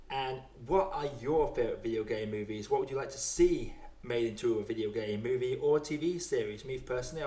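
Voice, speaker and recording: A man's voice: mid-range voice, darkish tone, a little hiss or hum. Male podcast host, casual film chat, echoey room. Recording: roomy, echoey sound.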